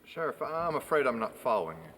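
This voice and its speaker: An actor as a guarded, impatient suspect in a film mystery. He has a mid-range voice with lively inflection and an indignant edge, heard in a reverberant hall. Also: medium pitch, quick pace, distant mic. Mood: guarded, defensive. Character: defensive, impatient male murder suspect. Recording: echoing hall with long reverb.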